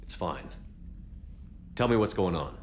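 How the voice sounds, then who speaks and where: Stoic human commander, game lead. Low register, economical, determined. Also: male voice, lively intonation, measured pace, a little hiss or hum. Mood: resolute. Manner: restrained, terse. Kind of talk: video game cutscene acting.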